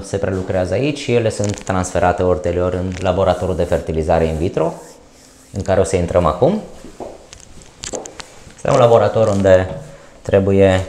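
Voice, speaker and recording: A middle-aged man with a Romanian accent, a doctor, explaining clinic procedures during a tour in a measured, hesitant way. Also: low-pitched, a little mellow, slight background noise. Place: clinic corridors and lab, indoors. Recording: echoey clinic rooms.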